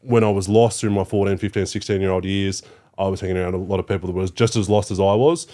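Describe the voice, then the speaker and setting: A man's voice: deep voice, fairly even intonation, quick pace, dark tone. A man in his thirties with an Australian accent, a guest on a podcast, talking in a laid-back way with lots of fillers and casual swearing. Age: 30s. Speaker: podcast guest, youth worker. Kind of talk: casual interview chat.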